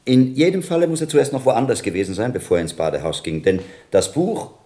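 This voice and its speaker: An actor playing a shrewd, methodical medieval monk investigator in a German radio drama, speaking in a measured, authoritative way. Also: male voice, mid-range voice, animated, warm tone, natural voice. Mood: analytical.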